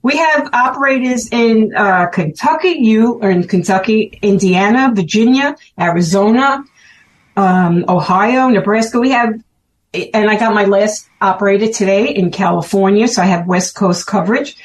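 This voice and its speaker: A woman with a strong Brooklyn accent talks in a chatty, upbeat way, listing details eagerly and breaking into laughter. Her voice sits at a middle pitch for a woman, with a faint hiss of background noise behind her. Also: normal speed, poor audio. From Brooklyn, New York. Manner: chatty, laughs often, lists things excitedly. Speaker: guest, special event organizer. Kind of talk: casual chat.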